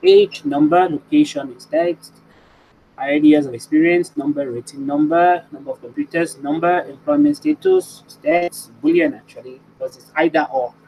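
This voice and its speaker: A man's voice: on the high side, lively intonation, moderate pace, darkish tone, slight background noise, poor audio. A man presenting a webinar lesson, explaining points and often checking in with "right?".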